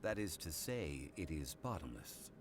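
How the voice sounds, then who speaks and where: Ancient immortal gentleman, game role: low, formal, composed, unhurried gravitas. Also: very animated, over music, exaggerated voice. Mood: composed, explanatory. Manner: measured, formal, solemn. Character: ancient, composed male god in mortal guise, scholarly. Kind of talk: video game voice acting.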